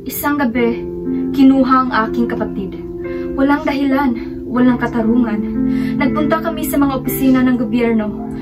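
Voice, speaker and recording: A woman's voice: high voice, normal speed, natural voice. A woman acting a grieving sister who gives solemn, sorrowful testimony in a radio drama. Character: grieving sister testifying about abducted sibling. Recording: dull lo-fi audio under loud music.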